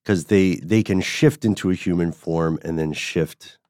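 A man co-hosting a podcast, telling a story in a casual, animated way and often checking in with "right?". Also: unhurried, dry recording, studio quality. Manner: animated, rising pitch when excited, frequent "right?" check-ins. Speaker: podcast co-host.

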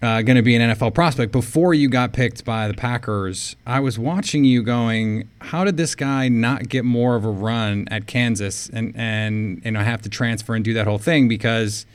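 A man's voice: medium pitch, normal speed, hardly any pauses, smooth. An American man interviewing a guest casually, chatty and given to long, meandering questions. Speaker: interviewer, sports show host.

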